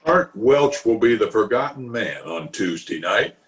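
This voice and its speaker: A man's voice: lowish voice, animated, a little slow. A man playing a blustering, boastful middle-aged town official in an old-time radio comedy, theatrical in manner. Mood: scornful, boastful. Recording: roomy video-call recording.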